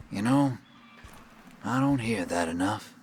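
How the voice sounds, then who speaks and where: A man's voice: fairly low pitch, expressive, takes it slowly, slight background noise. An actor playing a worldly, sly male drifter in a video game, with a folksy, streetwise drawl. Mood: wry, reflective. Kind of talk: video game dialogue acting.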